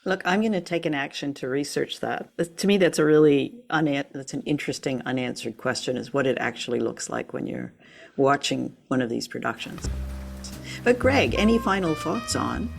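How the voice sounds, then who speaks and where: A woman with a notably low voice hosts a radio show and podcast. She chats casually, sounding engaged and inquisitive. There is faint music under her voice. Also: moderate pace, gravelly. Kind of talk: casual chat about old radio drama.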